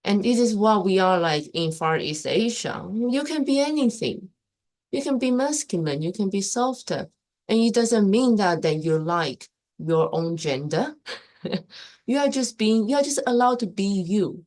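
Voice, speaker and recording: A young woman from Taiwan hosts a casual live stream and shares her opinions in loose, repetitive English. Her voice sits at a middle pitch and keeps swinging up and down with emphasis. She talks plainly and breaks off to restart her sentences. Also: animated, unhurried, a little mellow.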